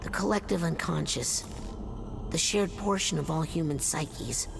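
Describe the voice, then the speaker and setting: A woman voicing an earnest, eager young boy psychic agent in a video game, hesitant and excitable. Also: low voice, unhurried, vocal fry, noisy background, exaggerated voice. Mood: uneasy.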